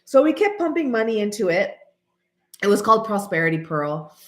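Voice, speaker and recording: A woman in her late thirties, stuffy and nasal from allergies, chatting casually and rambling to her viewers while often clearing her throat. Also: medium pitch, sing-song, brisk, roomy sound. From American. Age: 30s. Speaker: channel host. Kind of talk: casual vlog chat.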